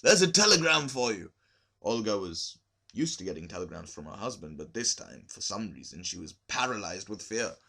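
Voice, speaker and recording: A man narrating a literary short story from a script, expressive and deliberate, with emphasis on key words. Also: low-pitched, gravelly. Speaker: amateur audiobook reader.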